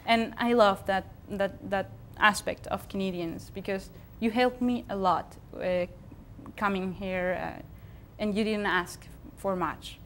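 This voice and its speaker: A woman's voice: mid-range voice, fairly even intonation, measured pace, a little hiss or hum. A young woman from Venezuela, co-hosting a casual TV studio chat, often laughing and restarting her sentences. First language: Spanish. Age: young adult. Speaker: co-host. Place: small TV studio.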